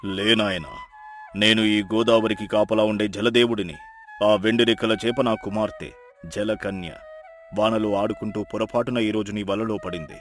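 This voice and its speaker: Deep, solemn male deity played by a man, benevolent and grave, storybook drama with music behind. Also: fairly even intonation, normal speed, natural voice. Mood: grave, revealing. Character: grave, benevolent male river god in ascetic's guise. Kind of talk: animated moral folktale acting.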